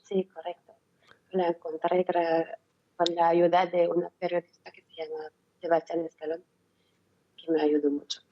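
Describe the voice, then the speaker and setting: A woman in her thirties from Belgium, a remote interview guest, speaking haltingly in simple phrases and repeating words. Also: lowish voice, flat delivery, muffled recording, slight background noise. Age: 30s.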